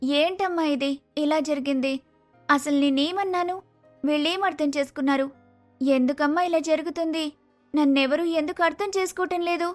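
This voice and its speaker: A woman's voice: sits high, moderate pace, soft background music, close to an everyday voice. A woman voicing an earnest, misunderstood younger sister who is a college student, sincere and pleading, in a cartoon story. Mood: hurt, upset. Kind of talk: acted cartoon moral story.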